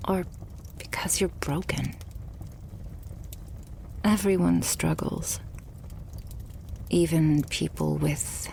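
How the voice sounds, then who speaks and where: A woman playing a loving, possessive vampire girlfriend in an intimate ASMR roleplay, murmuring softly and breathily. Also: fairly low pitch, heavily acted. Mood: soothing. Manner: soft, breathy, slow murmuring that often drops to whispers.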